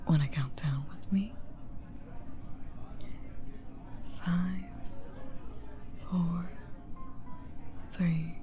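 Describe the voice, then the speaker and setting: A woman's voice: lowish voice, warm tone, soft background music. A woman playing a flirty, charming stranger at a party in an intimate ASMR romance roleplay, with a teasing, coaxing delivery. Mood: hushed, eager. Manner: teasing, coaxing, unhurried, often drops to a whisper.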